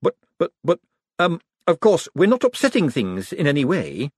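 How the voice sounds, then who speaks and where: A nervous, excitable economist who trips over his own words, as a male narrator puts him on. His voice sits high and jumps about wildly. It has a husky grain, recorded dry and close. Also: normal speed, dry recording, full character voice. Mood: flustered. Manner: flustered and stammering.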